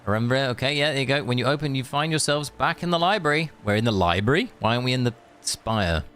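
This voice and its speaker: A man streaming a game, reading the story aloud and breaking off for sarcastic jokes. His voice sits at a middling pitch, with faint music underneath. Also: expressive, quick pace, fairly bright tone. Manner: sarcastic, joking asides.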